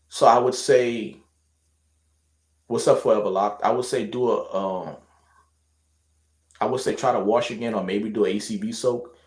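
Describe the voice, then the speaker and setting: A man's voice: mid-range voice, reverberant. Laid-back man with a light Louisiana lilt. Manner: laid-back, rambling, lots of fillers and restarts. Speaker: hair vlogger answering viewer questions. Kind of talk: casual livestream chat.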